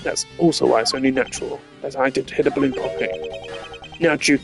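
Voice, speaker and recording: Man doing a slow, dismissive veteran police detective. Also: mid-range voice, steady tone, creaky, over music, close to an everyday voice. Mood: dismissive, unbothered. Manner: laconic, drawling, dismissive.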